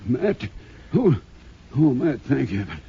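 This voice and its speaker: A man with an American accent playing a groggy, rueful banker in a 1950s radio western, with a husky, gravelly voice and a plaintive manner. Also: medium pitch, big pitch swings, slow, dark tone, heavily acted. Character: groggy, rueful townsman banker in the Old West. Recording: muffled 1950s radio recording.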